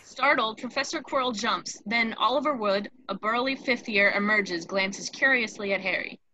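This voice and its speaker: A woman's voice: a little high, normal speed, bright, forceful tone, dull audio. A woman narrating scripted stage directions in a table read, with faint noise behind her.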